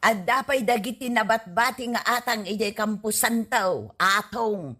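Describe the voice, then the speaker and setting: Woman playing a bright, teasing village mother. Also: on the high side, a little slow. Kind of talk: Ilocano radio comedy drama acting.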